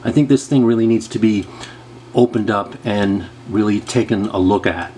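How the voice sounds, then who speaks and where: A man's voice: fairly low pitch, normal speed, dark tone. Man narrating a demo over background noise. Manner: methodical, explanatory. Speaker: host reviewing vintage audio equipment. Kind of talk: casual product demonstration narration.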